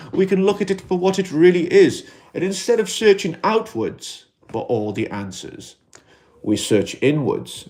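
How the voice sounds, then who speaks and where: Welsh man, mellow reflective livestream host. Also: fairly high pitch. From Wales. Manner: gentle, reflective, many "you know" fillers. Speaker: livestream host in an alien costume. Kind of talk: casual livestream chat reading comments.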